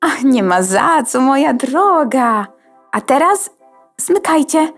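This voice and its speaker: A woman's voice: high-pitched, big pitch swings, takes it slowly, soft background music, heavily acted. A woman playing a vain, self-important opera diva in a theatrical children's radio play. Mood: grand, self-satisfied. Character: vain female opera diva, a computer component.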